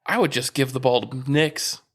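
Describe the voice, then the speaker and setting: A man's voice: mid-range voice, steady tone, moderate pace, fairly bright tone, breathy edge. A man co-hosting a sports podcast, trading opinions casually and matter-of-factly with a friend.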